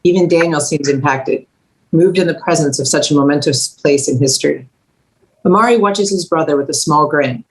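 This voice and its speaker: A woman's voice: low-pitched, normal speed, poor audio. A woman narrating scripted stage directions at a table read.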